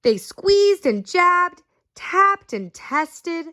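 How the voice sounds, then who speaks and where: A woman reading a picture book aloud to children, expressive and asking her listeners questions. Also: very high voice, extremely slow. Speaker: story reader.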